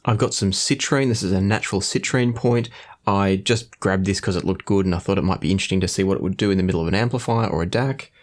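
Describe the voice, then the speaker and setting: A man hosting an audio review, explaining things in a measured, conversational way. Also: deep voice. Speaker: host of an audio review show. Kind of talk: scripted-sounding product review to camera.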